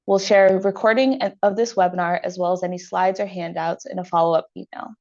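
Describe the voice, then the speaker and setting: A woman's voice: lowish voice, fairly even intonation, darkish tone, highs cut off. Businesslike American woman moderating a webinar. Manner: clear, measured, businesslike. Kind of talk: scripted webinar introduction. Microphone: computer mic on a video call.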